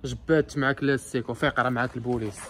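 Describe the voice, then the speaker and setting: A man's voice: mid-range voice, steady tone, rapid-fire, a bit bright, slight background noise, lightly acted. An actor playing a streetwise young man with a Moroccan accent, commanding and mocking. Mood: gruff.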